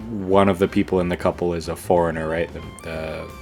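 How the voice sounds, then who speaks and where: A young man with a fairly low voice chats easily as a podcast co-host. He rambles, circles back and fills pauses with "um" and "like" in a loose, relaxed way. The delivery is plain and unhurried. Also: creaky, soft background music. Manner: relaxed, rambling with many fillers and restarts. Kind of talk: casual conversation.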